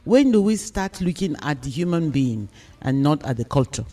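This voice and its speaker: A man with a Nigerian accent and a fairly high voice leads a radio discussion. He explains things deliberately and emphatically as host, over faint background music. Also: very animated, mellow. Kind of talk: radio discussion, posing questions.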